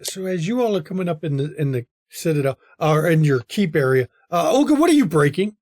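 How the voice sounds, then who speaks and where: High-voiced man running a tabletop game. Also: very animated, brisk, a little mellow. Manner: teasing, laughs at players. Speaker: game master.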